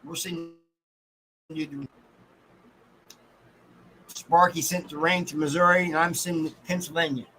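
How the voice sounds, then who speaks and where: A man's voice: fairly high pitch, steady tone, moderate pace, long pauses, bright, forceful tone, slight background noise, low-quality recording. An American man hosting a casual livestream, talking in a folksy, colloquial way and addressing his viewers by name. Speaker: livestream host.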